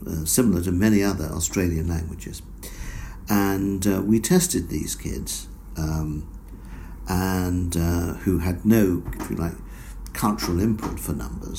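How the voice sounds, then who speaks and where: Male researcher guest, very low gravelly voice, dark tone, measured explainer with ums. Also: slight background noise. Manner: measured, explanatory, many fillers. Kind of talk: academic interview answers.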